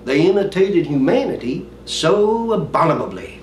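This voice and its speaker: An earnest, brooding prince played by a man declaims Shakespeare in a fairly high male voice. The delivery is emphatic, with weighty pauses. It sounds roomy and set back from the microphone. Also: sing-song. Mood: earnest, impassioned. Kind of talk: dramatic Shakespearean stage monologue. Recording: roomy, distant mic, faint noise.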